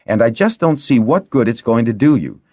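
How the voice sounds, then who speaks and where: A man's voice: mid-range voice, sing-song, fairly quick, dark tone, no echo, voice pushed up. A man voicing a blunt, scornful, hot-tempered girl in an audiobook story. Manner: disapproving, derisive, forthright.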